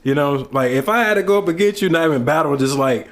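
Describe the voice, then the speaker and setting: A man's voice: fairly high pitch, expressive, fast. A thirty-year-old man from Ohio hosting a casual podcast chat, laid-back and slangy, talking into a desk mic in a small studio. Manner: laid-back, slangy, hypes up his guest. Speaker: podcast host. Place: small studio with backdrop, indoors. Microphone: desk mic on boom arm.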